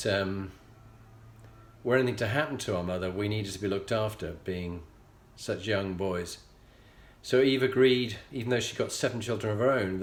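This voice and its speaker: Earnest Yorkshire man in an echoey room. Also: on the low side. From England. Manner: earnest, measured, pausing often. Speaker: leadership coach and show host. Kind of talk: reflective personal storytelling.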